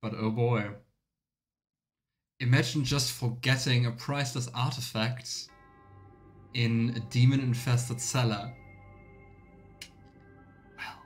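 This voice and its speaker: A man gives casual let's play commentary as a game streamer. He talks slowly at a middling pitch, musing aloud and slipping in small role-play asides. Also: fairly even intonation, faint music behind. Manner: musing, thinking out loud.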